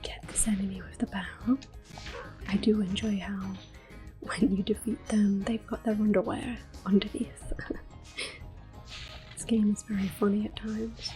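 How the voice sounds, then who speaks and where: A woman giving gentle, unhurried, soft whispered ASMR commentary on a game as the host. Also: mid-range voice, flat delivery, soft background music.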